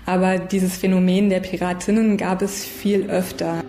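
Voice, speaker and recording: Echoey female expert, even and mellow. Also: fairly low pitch, moderate pace. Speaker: expert interviewee. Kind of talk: explanatory documentary interview. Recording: echoey room.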